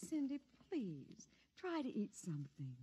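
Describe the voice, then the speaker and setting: A woman playing a gentle, protective mother, soothing and pleading, in an old-time radio drama. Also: medium pitch, wildly swooping pitch, unhurried, noisy background. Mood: soothing, weary. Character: gentle, protective young housewife mother, anxious wife.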